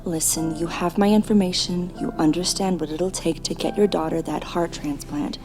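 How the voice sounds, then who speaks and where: Woman acting a low-voiced, composed hospital doctor. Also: steady tone, over music, natural voice. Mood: measured, persuasive. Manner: measured, formal, earnest. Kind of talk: dramatic audio play acting.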